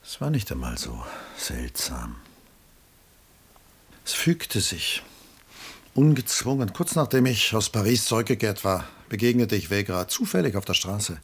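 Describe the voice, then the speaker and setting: An actor playing an embittered, lonely middle-aged artist in a mid-century German radio play, melancholy and brooding, with a husky voice and hushed drops. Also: male voice, lowish voice, sing-song, a little slow, heavily acted. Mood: subdued, reflective. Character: Julian, embittered aging artist, lonely, self-pitying father.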